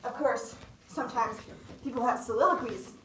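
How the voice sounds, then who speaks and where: A young woman playing a flustered, bewildered actor thrust unprepared into Hamlet, halting and groping grandly for lines in comic stage acting. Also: a little high, fairly bright tone, lightly acted. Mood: awkward, puzzled. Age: young adult. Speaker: student actor. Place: school theatre stage. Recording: echoey stage, distant mic, faint noise.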